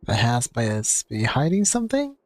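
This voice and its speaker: A woman's voice: rumbling low, huge pitch swings, normal speed. A woman game streamer casually reading out dialogue choices and commenting as she plays.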